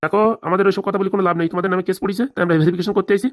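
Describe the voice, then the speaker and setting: A woman's voice: medium pitch, flat delivery, rapid-fire, close to an everyday voice. A woman voicing a stern, pushy government inspector in a comic cartoon, speaking with a rural Bengali dialect. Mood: threatening. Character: officious, bullying government inspector threatening arrest.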